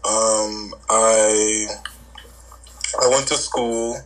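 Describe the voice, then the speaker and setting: A man's voice: lowish voice, level tone, drawn-out words, a bit bright, poor audio. A young man guesting on a podcast, bantering in casual chat with profane, mock-serious jokes.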